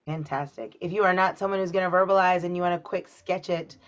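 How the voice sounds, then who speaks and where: A woman with a low voice facilitates a group online. She is informal and encouraging as she prompts people to join in. The sound is a little dulled, as video calls can be. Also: fairly even intonation, quick pace. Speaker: workshop facilitator. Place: online meeting. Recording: muffled video-call audio.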